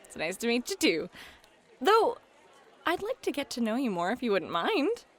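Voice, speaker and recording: A woman's voice: on the high side, moderate pace, a faint crowd. A woman playing a playful, teasing fairy hostess and game master in a flirty ASMR roleplay, talking straight to the listener. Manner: flirty, teasing, excitable, addresses listener directly.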